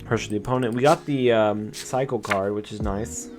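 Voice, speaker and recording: A young man with a slightly raspy voice, chatting casually through a card game deck test and explaining his plays. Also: fairly low pitch, moderate pace. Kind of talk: casual gameplay commentary. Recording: music playing underneath.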